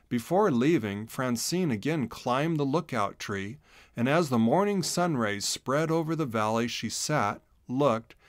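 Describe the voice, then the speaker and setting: A man's voice: medium pitch, dry recording, studio quality. A man reading scripted children's story narration as an audiobook narrator.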